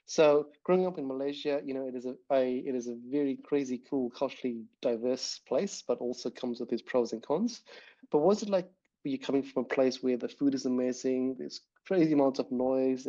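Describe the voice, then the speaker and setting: A man hosting a casual podcast, his voice dark and mellow. He talks in a loose, rambling way, full of ums and restarts, and the recording sounds slightly dull and lo-fi. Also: mid-range voice, a little fast. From immigrant to New Zealand. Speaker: podcast host. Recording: dull lo-fi audio.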